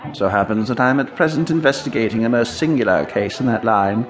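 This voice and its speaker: An actor playing a grave, scholarly gentleman detective of the supernatural in a radio drama, with formal, precise phrasing. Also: mid-range voice, fast, soft background music, natural voice. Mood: measured, grave. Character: measured gentleman ghost hunter, grave and scholarly psychical detective.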